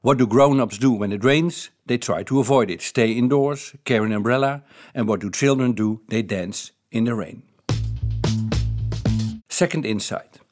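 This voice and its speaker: A man from the Netherlands with a Dutch accent, an author calmly presenting the key insights of his book in a measured, explanatory way. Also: mid-range voice, faint music behind. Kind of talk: reading his own book's ideas aloud.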